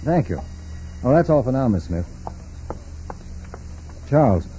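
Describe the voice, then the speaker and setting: An actor playing a brisk, businesslike federal agent questioning people in a 1940s radio crime drama. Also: male voice, mid-range voice, very animated, warm tone. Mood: probing, firm. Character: brisk, probing male federal agent on a case. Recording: muffled, noisy 1940s radio recording.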